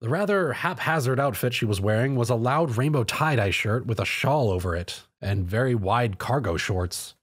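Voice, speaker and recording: A man's voice: medium pitch, expressive, normal speed, few pauses, husky. A man narrating a scripted story as a dramatic reader. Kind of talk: scripted narration, dramatic fan fiction reading.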